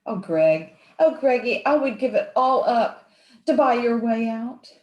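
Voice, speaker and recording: Echoey high-voiced scheming moll played by a woman. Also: animated, measured pace, darkish tone. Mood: mock heartbroken. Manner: cooing, melodramatic. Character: scheming femme fatale moll, sugary and two-faced. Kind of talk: 1940s noir radio acting, live community theatre. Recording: roomy, echoing live stage recording.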